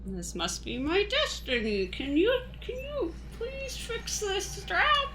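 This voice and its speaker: A woman's voice: extremely high pitch, sing-song, slow pace, sharp tone, full character voice. A woman playing a timid, aimless thirty-something drifter, stammering and apologetic, in an improvised comedy radio play. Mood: meek, agreeable. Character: timid, aimless thirty-five-year-old wanderer named Fred. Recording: light background noise.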